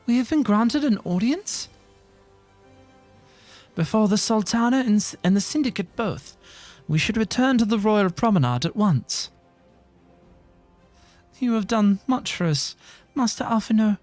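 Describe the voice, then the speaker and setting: Man voicing a soft high courtly lady, formal. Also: expressive, measured pace, pauses often, faint music behind. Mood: humble, earnest. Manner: formal, archaic courtly phrasing. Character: refined, gracious, courteous woman leading refugees.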